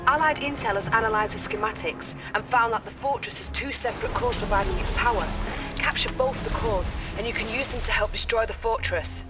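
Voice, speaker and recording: An actress playing a young female intelligence officer who briefs her commander in a crisp, earnest way. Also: on the high side, brisk, loud music behind the voice, lightly acted.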